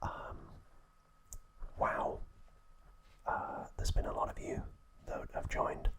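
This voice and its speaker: Slow whispering man, ASMR. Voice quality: breathy, unvoiced whisper. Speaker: ASMR channel host. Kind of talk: whispered ASMR narration and trivia.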